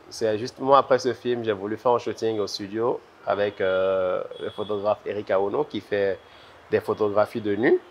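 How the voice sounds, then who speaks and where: A man's voice: fairly low pitch, dull audio. A man with a Beninese French accent answering interview questions conversationally, repeating words as he thinks. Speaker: interview guest, visual artist. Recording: noisy ambient background.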